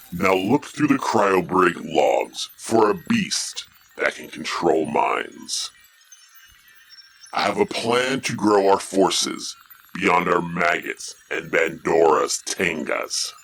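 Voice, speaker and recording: A man playing a menacing alien warlord who gives slow, contemptuous commands in an audio drama. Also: deep voice, animated, fairly bright tone, husky, full character voice. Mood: commanding. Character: menacing evil male alien warlord ruler.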